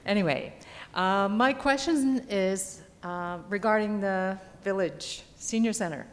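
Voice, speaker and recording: A woman's voice: medium pitch, measured pace. A woman in the audience asking a question at a town hall, chatty and polite, with a big projecting voice. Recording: long hall reverb, faint noise.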